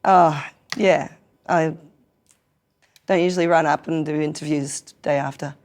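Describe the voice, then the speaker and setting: An Australian woman from Newcastle, hoarse and croaky after singing sick the night before, telling stories playfully in a casual interview. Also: sits low, steady tone, a little slow, darkish tone. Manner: playful, theatrical storyteller with frequent laughs. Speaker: guest performer.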